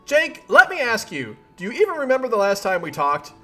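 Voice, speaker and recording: A man voicing an exasperated young woman who is furious with her friend, biting and emphatic, often shouting. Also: sits high, wildly swooping pitch, fairly quick, faint music behind, full character voice. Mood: bitter scolding.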